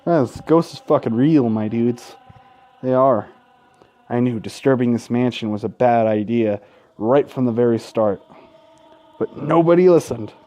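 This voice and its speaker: A man doing gaming commentary, reading a visual novel aloud with casual, sweary asides and an expressive, dramatic delivery. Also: mid-range voice, unhurried. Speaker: let's play host. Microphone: close gaming microphone.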